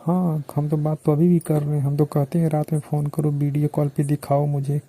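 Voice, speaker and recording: A man with a very mellow, dark tone and a narrow, even pitch speaks. He is coaxing and insistent, chatting casually and flirtatiously as a caller on the phone. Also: a little fast.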